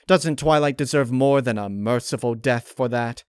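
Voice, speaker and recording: A man voicing a refined, vengeful female pony in a dark storybook reading, with formal, condescending, theatrical diction. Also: mid-range voice, normal speed, clear, resonant tone, no room sound, studio quality, pitched above the performer's own voice, natural voice. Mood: cold, accusing. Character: refined vengeful female pony, chillingly polite, unhinged underneath.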